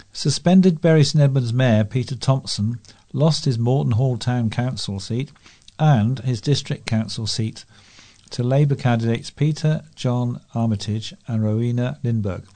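A man's voice: medium pitch, mellow. A man with a British accent reading scripted local news as a volunteer newsreader.

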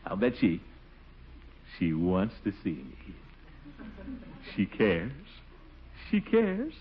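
A vain, blustering middle-aged bachelor in a 1940s radio comedy, booming and chuckling as he flusters. He speaks slowly, and his pitch swoops widely. The old broadcast sounds muffled with a steady hiss. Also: full character voice. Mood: musing. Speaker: lead character.

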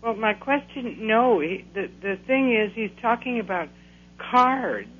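A woman's voice: a little high, expressive, unhurried. An elderly woman calling in, hesitant and unsure what to ask. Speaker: caller. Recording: dull phone line with faint hum.

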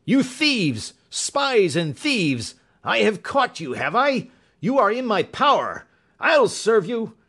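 A man's voice: high-pitched, sing-song, slow pace, fairly bright tone, breathy edge, pitched above the performer's own voice, exaggerated voice. A man voicing a very fat, burly, enraged bully, shrieking and menacing, in a storybook reading. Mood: furious.

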